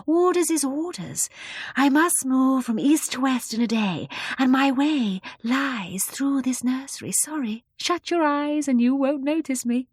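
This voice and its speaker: A woman reading aloud and voicing a gentle, obliging sunlight in a soft, breathy hush. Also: sits high, unhurried, dry recording, studio quality, voice pushed up. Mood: apologetic.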